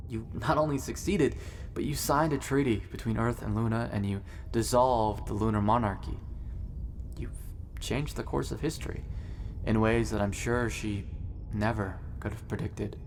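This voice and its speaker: A man playing an earnest young male ruler in an audio drama, speaking in a measured, sincere way. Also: mid-range voice, slight background noise, close to an everyday voice. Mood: tender, sincere.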